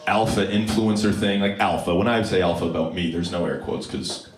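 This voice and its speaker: A deep-voiced young man from Alberta doing live stand-up, wry and self-mocking with deadpan asides. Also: brisk. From Alberta, Canada. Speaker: stand-up comedian. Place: comedy club stage before a live audience. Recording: roomy live club with loud crowd laughter.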